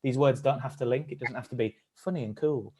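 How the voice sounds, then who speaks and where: A man's voice: medium pitch, brisk, darkish tone, slightly rough. A man leading an online acting workshop, encouraging and upbeat as he coaches the participants. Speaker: workshop leader. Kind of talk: live-streamed acting workshop.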